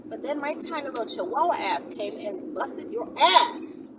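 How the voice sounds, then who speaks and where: A woman's voice: sits high, lively intonation, moderate pace. A woman talking in a casual, chatty monologue, sassy and emphatic, with frequent little check-ins as she answers questions. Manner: sassy, emphatic, often adds "okay?" and "you know". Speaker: video host answering viewer questions. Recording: noisy, distant mic, vehicle-like ambience.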